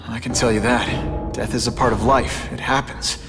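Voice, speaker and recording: An actor voicing a young male wisecracking superhero in a video game cutscene, firm and confrontational with a quick, joking edge. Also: mid-range voice, steady tone, over music, lightly acted. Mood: stern.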